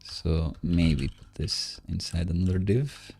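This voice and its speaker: A man giving casual live coding commentary, halting as he thinks aloud while he works. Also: deep voice, vocal fry, darkish tone, soft background music.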